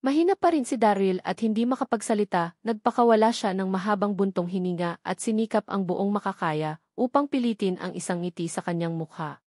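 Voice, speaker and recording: A woman's voice: mid-range voice, a little fast, darkish tone, dry recording, clean studio sound. A woman reading a scripted story as an audiobook narrator.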